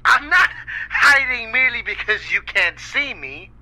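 An angry, sarcastic voice living in an old radio in a video game. It is a very high, bright man's voice, theatrical and full of mocking taunts. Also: animated, unhurried, heavily acted, rough recording. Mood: scornful.

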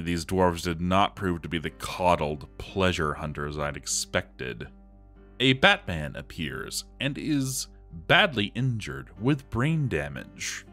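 A man's voice: low-pitched, fairly bright tone. A man voicing a gloomy, scornful dwarf settlement leader, reading his journal aloud in a theatrical, emphatic way. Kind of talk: dramatic journal narration over a game. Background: music.

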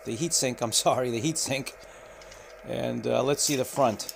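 A man in an indoor room, describing gear at an unhurried pace and pausing often with fillers. His voice sits at an ordinary middle pitch for a man. A faint hiss of room noise runs underneath the camera-mic recording. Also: gravelly. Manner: unhurried, many filler pauses. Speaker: radio reviewer. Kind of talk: unboxing walkthrough.

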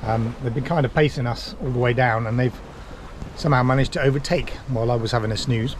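A man's voice: medium pitch, steady tone, fast. A man in his fifties, a sailing vlogger from the UK, talking to a camera held at arm's length in the cockpit of a yacht out at sea, with dry, self-mocking humour. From United Kingdom. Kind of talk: casual vlog narration and to-camera chat. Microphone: action camera at arm's length. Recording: outdoor camera audio with clear wind noise. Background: wind and water noise.

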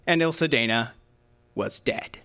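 An actor playing a flippant, slangy detective's assistant who narrates a hardboiled radio drama. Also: male voice, mid-range voice, measured pace, over loud music, natural voice. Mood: wry narrating. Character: wisecracking streetwise male detective's assistant, narrator.

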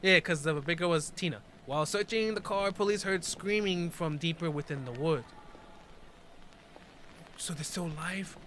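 High-pitched young man doing casual reactions. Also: moderate pace, sharp tone, slight background noise. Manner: informal, slangy, exclaiming. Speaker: reaction video host. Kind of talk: casual live reaction, reading on-screen text aloud and commenting.

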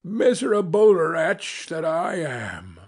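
A man's voice: a little high, slow, gravelly, exaggerated voice. A man playing a brutish male carter in a storybook, grumbling, scornful and self-pitying. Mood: wretched, lamenting. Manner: grumbling, lamenting.

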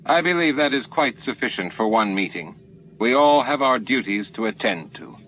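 A stern alien grandmaster, performed by a male narrator for a science fiction audiobook. He is formal and deliberate in his authority, pitched above the narration. The sound is a little dull. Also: expressive, a little hiss or hum, natural voice. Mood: commanding.

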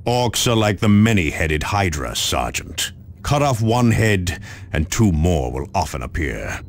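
Stern low-voiced space marine officer. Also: male voice, big pitch swings, exaggerated voice. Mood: grim resolve. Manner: solemn, measured military briefing. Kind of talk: video game dramatic briefing.

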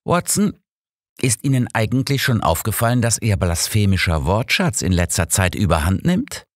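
An elderly male detective as a man reads him grumbles and lectures with self-assurance. His pitch is lifted above the narration, in a dry audiobook recording. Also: big pitch swings, moderate pace, dry recording. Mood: pedantic.

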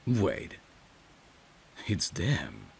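Very deep, gravelly, tender man. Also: wildly swooping pitch, extremely slow, a little hiss or hum. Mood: concerned. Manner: tender, soothing, intimate. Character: caring, protective male friend secretly in love. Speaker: ASMR roleplay voice actor. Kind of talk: romantic ASMR roleplay acting. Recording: rain ambience behind the voice. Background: rain.

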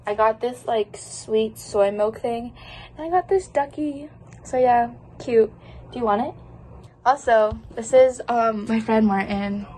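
A woman's voice: a little high, measured pace, darkish tone, a little hiss or hum, low-quality recording. A young woman vlogging casually to her phone camera in a dorm room, bubbly and chatty, often giggling. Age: young adult. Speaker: student vlogger. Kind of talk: casual vlog chat to camera. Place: college dorm room, indoors. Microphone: phone held at arm's length.

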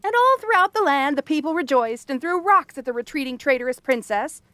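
Woman acting a snappish, high-voiced bratty frog girl princess. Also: lively intonation, fairly quick, sharp tone, no echo. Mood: bitterly sarcastic. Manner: snappish, sarcastic, tsundere tomboyish bluster. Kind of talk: fantasy ASMR roleplay acting.

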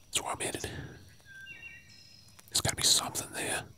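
An Australian man playing a weary, protective survivor of a zombie apocalypse in a whispered ASMR role play, hushed, urgent and coaxing. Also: normal speed. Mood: weary, resolute.

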